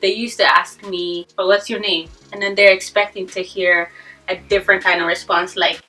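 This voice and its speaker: A woman's voice: lowish voice, fairly even intonation, normal speed, pushed and bright. A young woman from Ethiopia hosting a casual chat, laughing often as she talks. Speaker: host. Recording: voice from a distance, faint music behind.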